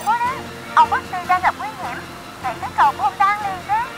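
A woman's voice: extremely high pitch, expressive, brisk, music in the background, rough recording. A woman voicing an eager, helpful, childlike little helicopter robot in a dubbed children's cartoon. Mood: urgent.

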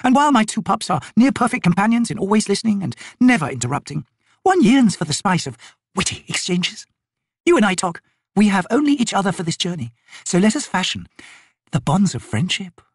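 A man's voice: high voice, sing-song, fairly quick, slightly rough, dry recording, clean studio sound, pitched above the performer's own voice, heavily acted. A man voicing a haughty, teasing noble sorceress in an audiobook, with ornate, lilting, imperious phrasing. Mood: coaxing.

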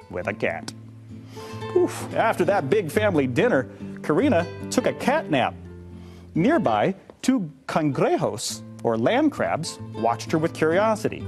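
A middle-aged man reading a children's storybook aloud with expressive narration. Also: fairly high pitch. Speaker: read-aloud storybook reader. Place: indoor studio, seated on a couch.

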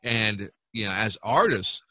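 A man hosting a casual podcast discussion, talking in a rambling, halting way with repeated phrases. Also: lowish voice, expressive, sharp tone, dry recording. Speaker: podcast host and artist.

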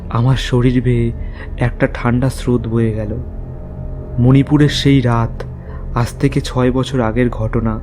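A man's voice: medium pitch, mellow, close to an everyday voice. A man playing a young journalist, narrating his own ghost story in a scripted cartoon style. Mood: uneasy, suspenseful. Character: young male journalist recounting an eerie night. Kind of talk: scripted first-person horror story narration.

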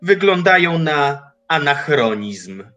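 An actor playing Time personified as a mocking, lofty old man in an audio drama, speaking slowly in an archaic manner. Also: a little high, big pitch swings, sharp tone, exaggerated voice. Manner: slow, measured, archaic speech.

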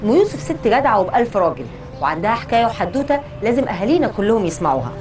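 A young woman with an Egyptian accent interviewing conversationally outdoors, prompting with quick follow-up questions. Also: on the high side, very animated, music in the background. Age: young adult. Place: city street, outdoors. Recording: clear outdoor street noise.

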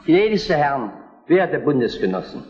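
A man's voice: a little high, sing-song, moderate pace, darkish tone. An actor playing a courteous, conciliatory German king addressing fellow princes in a historical radio play. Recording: dull lo-fi drama recording.

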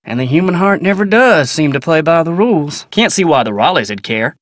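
A man's voice: on the high side, animated, brisk, dry recording, studio quality, lightly acted. An actor playing a guarded, polite American ranch foreman in a video game, folksy, clipped and matter-of-fact. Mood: plain, reserved. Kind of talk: naturalistic video game character dialogue.

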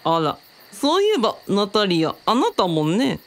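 A woman's voice: medium pitch, wildly swooping pitch, moderate pace. A woman playing a self-assured, worldly female mentor who talks in a teasing, feminine way in a radio drama.

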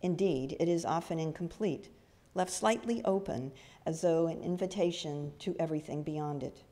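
An older woman reciting a reading at a lectern in a church hall, with careful, deliberate phrasing. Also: deep voice, mellow. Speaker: reader at a church service. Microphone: gooseneck lectern microphone. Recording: long hall reverb.

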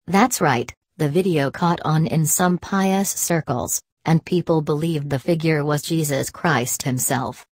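A woman reading scripted narration for a viral story video in a measured storytelling manner. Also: sits low, darkish tone, no echo.

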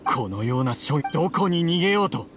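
Game samurai guard: officious, threatening, high for a man, music clearly behind. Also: big pitch swings, a little fast. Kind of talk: Japanese anime game voice acting.

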